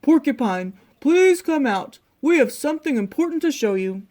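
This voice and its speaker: A woman voicing a big, hearty male bear in a storybook, loud and exclamatory. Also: fairly high pitch, very animated, measured pace. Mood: calling out.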